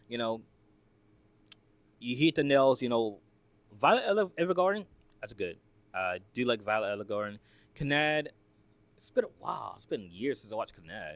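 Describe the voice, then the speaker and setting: A man from the United States reacts in casual, rambling talk, full of fillers and asides. His pitch swings widely as he talks. The sound is dry and close, with no room around it. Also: no echo. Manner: rambling, filler-heavy, lively and chatty. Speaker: reaction video host.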